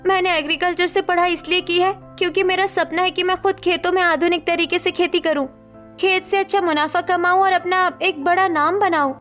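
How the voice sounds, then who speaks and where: A woman's voice: very high voice, fairly even intonation, a little fast, a bit bright, faint music behind. A woman voicing an earnest, educated young village bride in a cartoon story. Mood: eager. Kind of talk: cartoon moral story acting.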